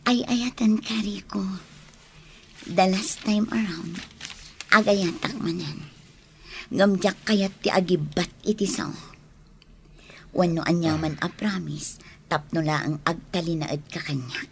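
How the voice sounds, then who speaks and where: A woman's voice: on the low side, takes it slowly, creaky, long pauses, a little hiss or hum. A woman playing a tender but firm woman in love in an Ilocano radio drama, speaking earnestly and sentimentally.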